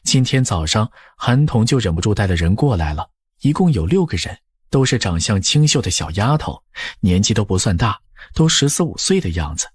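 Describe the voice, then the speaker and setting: Husky male storyteller with lively intonation. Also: on the low side, a little fast, no echo, clean studio sound. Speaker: audiobook narrator. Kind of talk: scripted storytelling narration of a historical novel.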